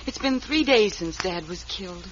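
A woman's voice: mid-range voice, expressive, normal speed, lightly acted. An actress playing a grieving but spirited young woman with an American accent in an old radio western. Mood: grieving, indignant. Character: grieving, spirited young daughter of slain miner. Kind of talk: 1950s radio western acting. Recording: dull, hissy old radio recording.

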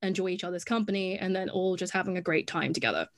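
A woman's voice: fairly low pitch, fast. A young woman from Hong Kong with an English accent, a podcast guest in casual chat who laughs often and fills her talk with "like". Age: young adult. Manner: chatty, laughs often, many "like" fillers.

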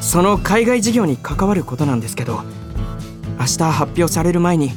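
A woman's voice: on the low side, big pitch swings, moderate pace. A woman plays a polite young man in a radio drama, boyish and sincere with a teasing streak. His intonation moves up and down freely, and music plays behind him. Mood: serious, sincere. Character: earnest, polite, teasing young male junior coworker. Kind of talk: Japanese radio drama acting.

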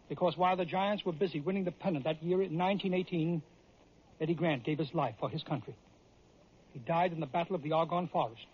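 A man's voice: sits high, husky. A man with an American accent delivering emphatic, theatrical scripted sports stories in an old-time radio style. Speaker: radio storyteller and sports host. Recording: dull vintage radio recording.

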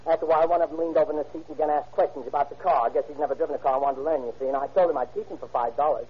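An actor playing a nervous, rambling, excitable cab driver in an old-time radio crime drama. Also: male voice, on the high side, rapid-fire, breathy edge. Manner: chatty, digressive, flustered. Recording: muffled old radio recording.

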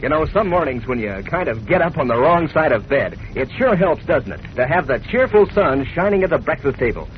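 A man announcing in a chummy 1940s commercial style, fairly high and very animated. He sounds folksy and cheery. The recording is dull, with faint noise. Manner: chummy, cheery, addressing young listeners. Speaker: radio announcer. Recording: muffled, faintly noisy 1940s radio recording.

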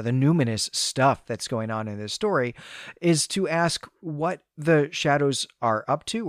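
A man co-hosting a podcast, giving candid, conversational opinions with lively asides. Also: mid-range voice, no room sound, studio quality. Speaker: podcast co-host. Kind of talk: literary discussion podcast.

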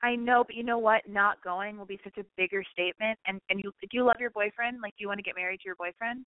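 A woman's voice: mid-range voice, steady tone, fast, no room sound. A woman hosting a call-in show, giving blunt, emphatic advice to callers. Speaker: radio call-in host. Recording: phone-like narrow sound.

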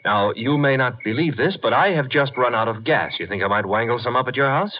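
A man's voice: mid-range voice, expressive, a little fast, natural voice. An actor playing a glib, flirtatious detective's legman with wry, bantering delivery in 1950s radio drama style. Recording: dull, noisy 1950s radio recording.

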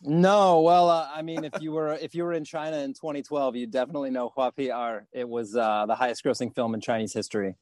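Man, podcast guest, halting delivery, dry recording. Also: mid-range voice, steady tone, darkish tone, no room sound. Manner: halting, many fillers and restarts. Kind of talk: podcast interview.

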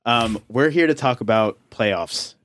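A man's voice: medium pitch, animated, a little slow, a bit bright. Young man hosting a casual podcast. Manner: laughs often, loose and joking. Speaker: podcast co-host. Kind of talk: casual chat.

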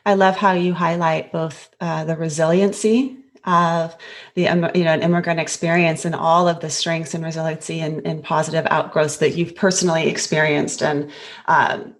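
A woman's voice: sits low, level tone, moderate pace. A woman hosting an interview who asks long, affirming framing questions. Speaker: interview host.

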